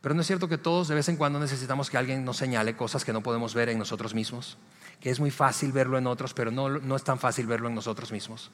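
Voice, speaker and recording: A man's voice: medium pitch, steady tone, quick pace. A man with a Venezuelan Spanish accent gives a conversational sermon as a pastor, speaking emphatically and repeating key phrases. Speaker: church pastor. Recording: long hall reverb.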